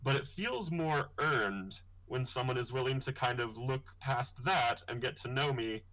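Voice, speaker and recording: A young man with a bright, forceful tone talks casually on his livestream. He explains his art in a thoughtful, rambling way, with plenty of fillers. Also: expressive, a little slow, low-quality recording. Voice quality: deep voice. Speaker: comic artist hosting his launch stream.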